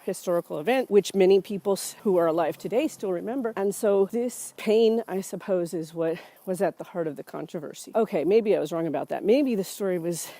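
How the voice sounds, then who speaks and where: A middle-aged woman with a cold talks through a book review with sardonic, opinionated asides. Her voice is mellow and dark. The sound is dry and close, with no room around it. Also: fairly low pitch, fairly quick, few pauses, no echo. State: has a cold. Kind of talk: spoken book review monologue.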